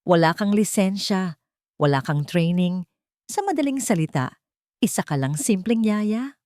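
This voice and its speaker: A woman's voice: medium pitch, expressive, measured pace, warm tone, no room sound, studio quality, lightly acted. Merciless, condescending courtroom lawyer, voiced by a woman. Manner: belittling, pointed questioning.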